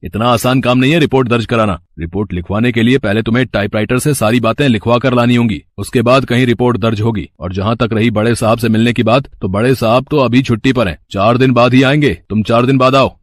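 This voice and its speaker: A man playing a dismissive, obstructive police constable in an animated moral story, curt and scornful. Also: mid-range voice, fast, hardly any pauses, lightly acted.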